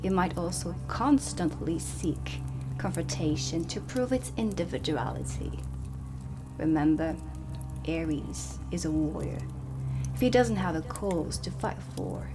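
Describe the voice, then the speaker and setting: A woman playing a stern, dry dark witch teacher in a soft-spoken ASMR roleplay, deliberate and often slipping toward a whisper. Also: on the low side, expressive. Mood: calm, instructive. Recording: close voice under prominent ambient music. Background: ambient music.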